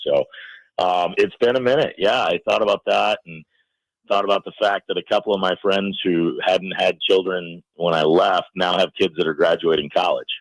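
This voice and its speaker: A man's voice: deep voice, dry recording, poor audio. A middle-aged American man chatting casually as a radio interview guest, with a joking, self-deprecating manner.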